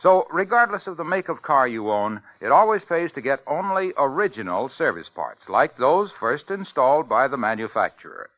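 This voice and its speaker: A man's voice: medium pitch, big pitch swings, moderate pace, hardly any pauses, no echo. A man delivering a scripted 1950s radio commercial as an announcer, in an emphatic salesman's manner. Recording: dull 1950s radio broadcast recording.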